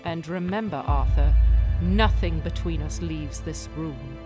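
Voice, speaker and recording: An actress playing a defiant, ruthless young heiress in a radio play, sharp-tongued and imperious. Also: female voice, deep voice, unhurried, over music. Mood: cold, resolute.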